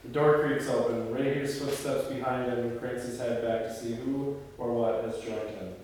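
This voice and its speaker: A man's voice: medium pitch, fairly even intonation, moderate pace, darkish tone. A man leading a script table read, reading stage directions aloud and talking casually. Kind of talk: scripted narration of screenplay, with casual discussion. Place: reverberant room at a group table read. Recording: echoey hall, distant mic, faint noise.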